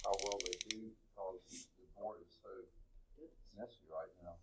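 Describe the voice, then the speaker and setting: A man with a loud voice coaching a math team in a classroom, relaxed and encouraging. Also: sits low, measured pace, heavy background noise. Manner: relaxed, encouraging, many short agreements. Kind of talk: casual classroom talk.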